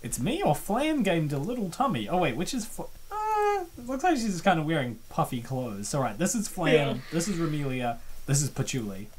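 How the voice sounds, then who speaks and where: A playful host with a lively, wide-ranging pitch reads and comments on a webcomic. The delivery is casual and rambling, given to excitable reactions. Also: brisk, a crowd in the background. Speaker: comic dub host.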